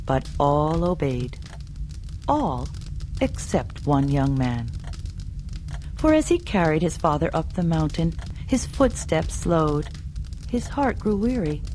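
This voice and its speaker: Woman reading a folk tale real slow, lively pitch, mellow voice, faint music under. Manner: slow, expressive. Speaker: storyteller. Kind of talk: scripted folktale narration for learners.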